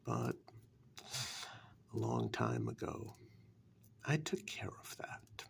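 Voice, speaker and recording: Man playing a slow, gravelly ancient warlock. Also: very deep voice, wildly swooping pitch, exaggerated voice. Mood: ominous, proud. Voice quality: gravelly, breathy half-whisper. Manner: slow, deliberate, condescending, with long pauses. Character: ancient powerful male warlock, no longer human, calm and menacing. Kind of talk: soft-spoken ASMR fantasy role-play.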